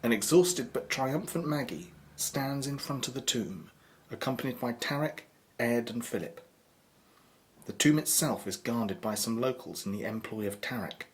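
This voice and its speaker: A man's voice: mid-range voice, animated, normal speed, slight background noise, natural voice. A man narrating a scripted radio drama as a grave storyteller. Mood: measured, dramatic.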